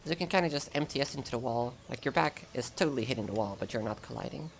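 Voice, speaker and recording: A woman's voice: very deep voice, expressive, fast talker, creaky, a little hiss or hum. A German woman with an American-sounding English accent, chatting casually and laughing often while commentating a speedrun of her game live.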